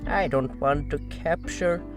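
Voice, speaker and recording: A man voicing a gentle, wise hermit creature who speaks slowly in broken English. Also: fairly high pitch, over music. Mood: calm. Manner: broken speech, slow and gravelly. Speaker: voice actor. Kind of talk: fan audio drama.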